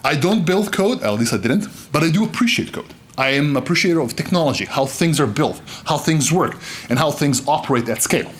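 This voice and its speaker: Man from Serbia, conference keynote, lively pitch, faint background noise, joking and animated. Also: a little fast. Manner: animated, joking, gestures broadly. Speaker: keynote speaker. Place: conference stage, indoors.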